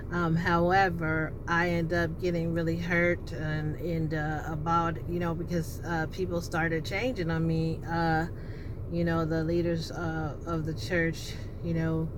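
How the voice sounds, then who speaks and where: A woman's voice: low-pitched, measured pace. Rambling alto woman. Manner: rambling, with frequent restarts and filler words. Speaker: vlogger. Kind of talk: casual personal storytelling. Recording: steady background noise with passing vehicle sounds.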